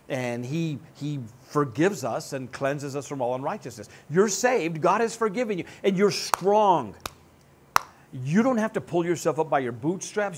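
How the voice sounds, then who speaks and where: A lively joking pastor in his sixties. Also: a little high. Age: 60s. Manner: animated, joking, gestures broadly while seated. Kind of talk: sermon. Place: church stage with band gear.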